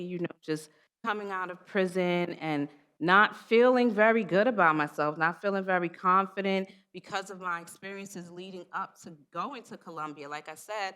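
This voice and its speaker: Smooth-voiced New woman, earnest. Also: lowish voice, fairly bright tone. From Queens, New York. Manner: earnest, conversational, many "like"s. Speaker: panelist, program alumna. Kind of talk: panel discussion answer.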